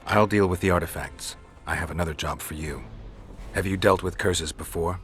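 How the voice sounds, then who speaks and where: Deep gravelly man, world-weary monster hunter, terse, dry sarcasm, fantasy game dialogue. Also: normal speed, a bit bright, faint music behind. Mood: businesslike. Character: gruff, sardonic monster-hunting warrior for hire. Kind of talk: video game dialogue acting.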